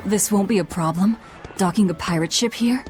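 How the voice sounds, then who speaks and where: A woman's voice: medium pitch, normal speed, dark tone, faint music behind, close to an everyday voice. A woman playing a cold young female fugitive in a game, with a curt, blunt manner. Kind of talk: dramatic game voice acting.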